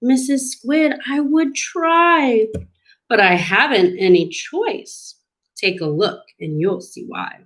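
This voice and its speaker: A woman voicing a gloomy, resigned male fish from a storybook, in a mopey, drawn-out singsong. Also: fairly high pitch, expressive, fairly bright tone. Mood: glum, resigned.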